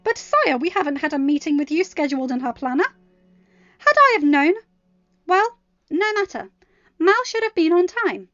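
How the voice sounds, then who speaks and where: A woman's voice: very high voice, animated, normal speed, long pauses, a bit bright, no echo, highs cut off, voice pushed up. A woman voicing a prim, condescending royal handler who scolds and huffs, in an audio story. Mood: huffy, defensive. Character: snooty, scolding young female royal handler.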